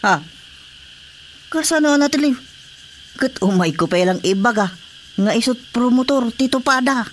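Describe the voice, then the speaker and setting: A man's voice: very high voice, expressive, moderate pace, background noise. A man playing an eager, sly village informant, a deferential comic underling in a radio drama. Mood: surprised. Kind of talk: comedic radio drama acting.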